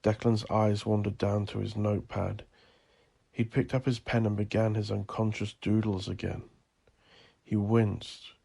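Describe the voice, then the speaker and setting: A man with a low, creaky voice reads scripted dark fiction. His delivery is deliberate and literary, staying within a narrow pitch range. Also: flat delivery. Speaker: narrator.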